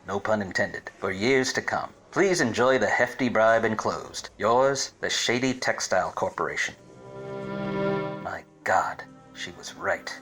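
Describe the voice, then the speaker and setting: An actor playing a wry, obtuse 1940s private detective in melodramatic, deadpan radio-play style. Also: male voice, fairly low pitch. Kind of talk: 1940s radio detective acting with hard-boiled narration.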